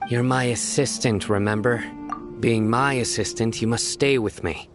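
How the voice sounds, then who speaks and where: A man's voice: medium pitch, moderate pace, close to an everyday voice. A man voicing a curt, authoritative law-enforcement general in a video game, terse and serious. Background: game music.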